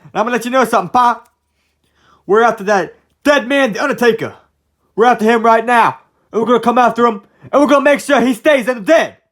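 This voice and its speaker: A man's voice: extremely high pitch, fast, fairly bright tone, pitched above the performer's own voice. A man voicing a tough, brash gang leader who taunts and threatens in a homemade wrestling story. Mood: threatening.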